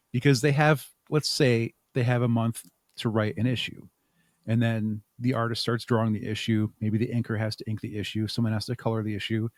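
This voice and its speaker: A man's voice: mid-range voice, dry recording, clean studio sound. A man answering as an interview guest, talking conversationally and explaining things step by step with examples. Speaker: interview guest, audio drama creator.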